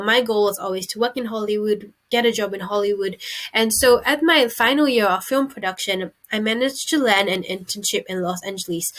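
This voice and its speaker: A woman's voice: medium pitch, fairly bright tone. A young woman in her twenties from Singapore, chatting casually to her webcam in a small room, gesturing as she talks. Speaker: vlogger. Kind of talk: casual talk to camera.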